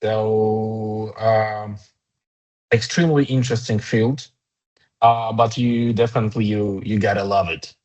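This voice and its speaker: A man with a Ukrainian accent, an HR director in his thirties, answering interview questions in a halting way with many fillers and restarts. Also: lowish voice, steady tone, darkish tone, dull audio. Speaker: HR director guest.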